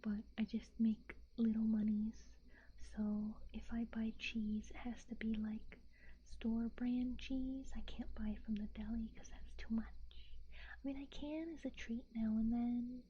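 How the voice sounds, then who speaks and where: A young woman from the United States whispering in a soft, rambling ASMR chat, with fillers and little giggles. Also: fairly high pitch, level tone, unhurried, muffled recording, a little hiss or hum.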